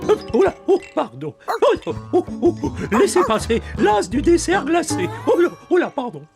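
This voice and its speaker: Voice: sits high, wildly swooping pitch, normal speed, creaky, music in the background, full character voice. An actor voicing a jolly, clumsy, showy male toy ice-cream seller in a children's cartoon, theatrical and full of oohs. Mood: jolly, flustered.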